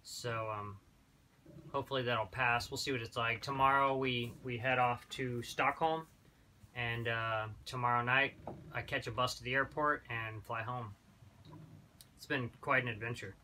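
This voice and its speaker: A man in his thirties giving a relaxed, rambling sailing-trip update from a small boat cabin, with plenty of fillers and restarts. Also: mid-range voice, a little slow, slight background noise. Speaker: sailing vlogger. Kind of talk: casual travel vlog.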